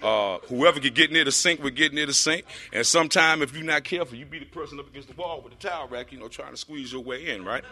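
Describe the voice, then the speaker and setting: A man with a deep voice telling his personal recovery story to a group, rambling with lots of fillers. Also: fast, few pauses, bright, forceful tone. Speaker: speaker sharing a recovery story.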